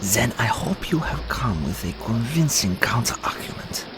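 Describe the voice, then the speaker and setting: A man playing a wary, dry-witted companion who gives hushed cautions in an audio drama. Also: on the low side, big pitch swings, measured pace, a little hiss or hum. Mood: uneasy. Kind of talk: fan audio drama acting.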